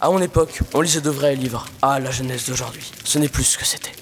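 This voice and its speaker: A man's voice: mid-range voice, fast, noisy background, lightly acted. A teenage boy playing a pompous, grumbling old village priest in a student audio drama. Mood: disdainful.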